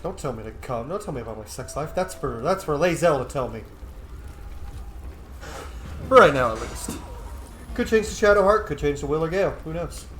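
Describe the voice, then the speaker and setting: A man's voice: on the high side, animated, normal speed, pauses often, a bit bright. A man giving casual, joking commentary as he roleplays through a video game. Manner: informal, joking. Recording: roomy echo, faint game noise behind.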